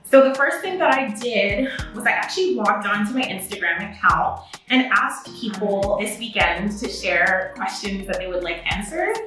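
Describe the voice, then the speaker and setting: A woman's voice: mid-range voice, expressive, normal speed, pushed and bright, distant mic, soft background music. A young adult woman who speaks French as her first language, chatting upbeat and casual to the camera as a makeup artist and beauty vlogger. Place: indoor home studio with a makeup setup. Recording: echoey room, mic set back.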